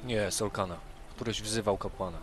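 A man's voice: lowish voice, fairly even intonation, normal speed, over music. A man playing a tabletop role-playing game, speaking for his character and describing his actions.

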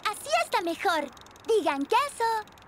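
Little girl hippo superhero, super high eager voice, unhurried, music behind. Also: sing-song, heavy background noise, heavily acted. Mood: eager, confident. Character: plucky young girl hippo superhero photographer. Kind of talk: children's cartoon dub. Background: children's show music.

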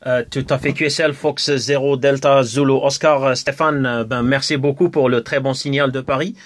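A man's voice: mid-range voice, fairly even intonation, moderate pace, background noise. Hesitant man chatting on amateur radio. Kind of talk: casual radio contact chat with call signs. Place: indoors at a radio desk.

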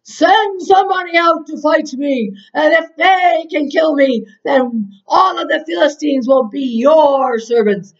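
A woman voicing a menacing, boastful male giant who shouts taunting challenges. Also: on the low side, fairly even intonation, unhurried, creaky, few pauses, pitched below the performer's own voice. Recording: dull, distant-mic recording.

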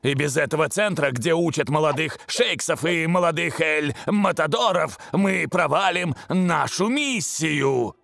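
An actor dubbing an earnest young male football captain in a cartoon, with halting speech. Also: fairly high pitch, animated, gravelly, fairly bright tone, no echo, soft background music, exaggerated voice. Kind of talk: cartoon dub.

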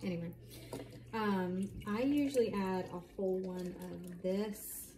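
A woman with a medium-pitched voice talks casually through her home cooking, adding chatty explanations as she goes. She is recorded on a camera mic a short distance away in a kitchen, with clear noise behind her. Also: unhurried, warm tone. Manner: chatty, explanatory asides while working. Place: home kitchen, indoors at night.

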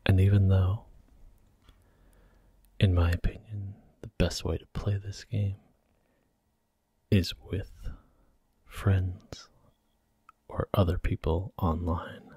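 A man giving soft-spoken, gentle ASMR commentary while he plays a video game. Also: deep voice, little pitch movement, takes it slowly, long pauses, slightly rough, dry recording. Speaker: ASMR gaming host. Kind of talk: whispered ASMR gameplay commentary.

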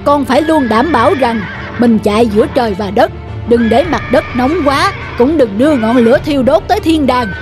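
Woman voicing a solemn, high-pitched sun god father. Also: fairly quick, music in the background, close to an everyday voice. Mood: urgent, commanding. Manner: formal, solemn, declamatory. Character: stately sun god father, proud, protective and stern. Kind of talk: dubbed mythological cartoon acting.

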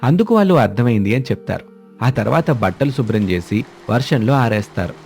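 Guy narrating a story, mellow and a bit gravelly, music behind. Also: medium pitch, lively intonation, a little fast.

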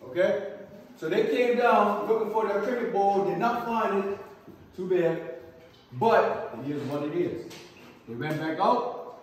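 A man working as a tour guide, telling a story to a group in short emphatic phrases, often checking they follow. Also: sits high. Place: inside a large cave. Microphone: distant camera microphone. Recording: echoey cave, distant camera mic.

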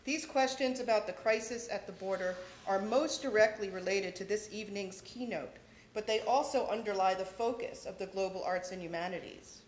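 A middle-aged woman at a podium giving a measured, formal welcome speech read from notes. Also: mid-range voice, a little hiss or hum. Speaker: event organizer introducing speakers. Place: large lecture hall, at a podium. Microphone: podium microphone. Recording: echoey hall.

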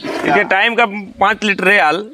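A man's voice: high voice, normal speed, recorded from a distance. A dairy farmer in his thirties with a slightly raised voice, answering questions in short, plain replies. He is recorded outdoors on his farm, with a faint background hiss and livestock around him.

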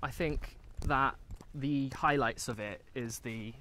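A man's voice: medium pitch, slow, pushed and bright, a little hiss or hum. A man, talking casually about a film while walking outdoors. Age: 20s. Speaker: film review vlogger. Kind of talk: casual film review chat. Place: outdoors, walking on a woodland path.

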